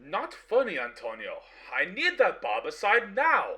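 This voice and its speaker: A man's voice: high voice, huge pitch swings, measured pace, bright, forceful tone, heavily acted. A man acting in a radio play as a touchy, impatient barbershop owner with a brusque, exasperated manner.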